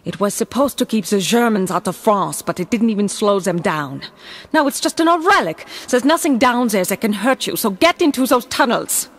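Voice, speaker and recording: A woman's voice: mid-range voice, expressive, normal speed. A woman playing an impatient, bossy gang leader in a comic audio drama, scornful and commanding.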